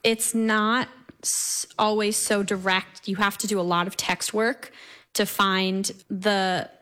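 A woman's voice: mid-range voice, flat delivery, takes it slowly, fairly bright tone. A teenage young woman from New York, a classical singer, talking conversationally and reflectively as an interview guest. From Brooklyn, New York. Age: teenager, seventeen.

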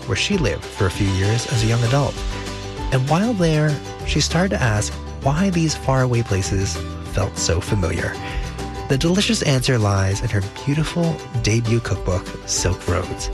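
A man's voice: mid-range voice, animated, moderate pace, over music. A man hosting a radio food show, reading polished scripted introductions.

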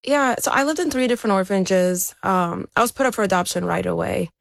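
A woman's voice: medium pitch, fairly quick, creaky, no echo. A woman who is an athlete, giving a reflective personal account as an interview guest. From born in Ukraine, raised there to age seven, then America.

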